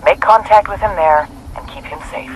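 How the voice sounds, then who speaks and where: An actor playing a brisk, matter-of-fact intelligence handler giving a scripted mission briefing in a game. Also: female voice, medium pitch, a little hiss or hum, close to an everyday voice, low-quality recording. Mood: businesslike. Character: businesslike intelligence handler briefing special forces soldiers.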